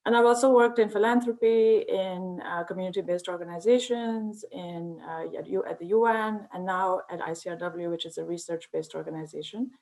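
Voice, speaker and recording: A woman from Pakistan speaks earnestly and in measured phrases. She is a policy expert describing her views, with a few fillers along the way. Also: on the low side, darkish tone. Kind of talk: conversational self-introduction.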